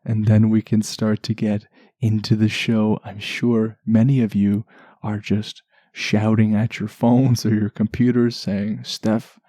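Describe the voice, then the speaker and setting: A man hosting a relaxation podcast in a soft, soothing voice, chatting gently and without hurry, lulling enough to send listeners to sleep. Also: on the low side, level tone, no room sound, clean studio sound. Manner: gentle, unhurried, chatty asides. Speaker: podcast host.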